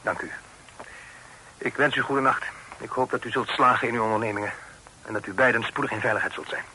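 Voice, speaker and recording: Upright young gentleman, formal and frank, bright tone, noisy vintage radio play. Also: mid-range voice, fairly even intonation, brisk. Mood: frank, reserved. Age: young adult. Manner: formal, frank, slightly impatient. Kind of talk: vintage Dutch radio drama acting.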